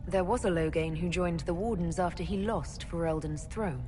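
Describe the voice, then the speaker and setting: Elven mage leader for a game female actor: composed, direct, questioning woman, lowish register, music behind. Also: moderate pace, close to an everyday voice. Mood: firm, questioning. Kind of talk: dramatic video game dialogue. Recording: background music under the voice.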